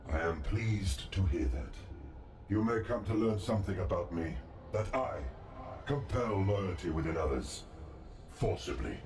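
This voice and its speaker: An actor voicing an ancient, regal male god in a video game, speaking in a calm, formal, measured and solemn manner. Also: low voice, background noise. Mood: solemn, confiding. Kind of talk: scripted video game dialogue. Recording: cavernous echo, distant, music and ambience behind.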